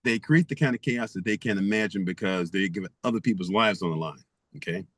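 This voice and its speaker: A man's voice: on the low side, quick pace, fairly bright tone, no echo, clean studio sound. A man guest author in an interview, speaking emphatically and stacking rhetorical questions. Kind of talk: interview conversation.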